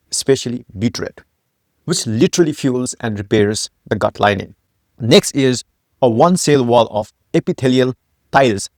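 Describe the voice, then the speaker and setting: A man's voice: mid-range voice, creaky, no room sound, clean studio sound. A man from Nepal, founder and host of a health channel, giving a scripted educational narration with emphatic rhetorical questions.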